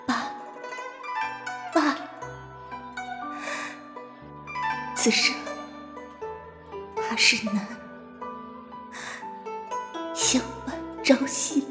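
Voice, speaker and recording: A woman playing a proud, melancholy young noblewoman in love, speaking wistfully in short measured phrases in a period audio drama. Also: sits high. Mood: sorrowful. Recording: music behind the voice.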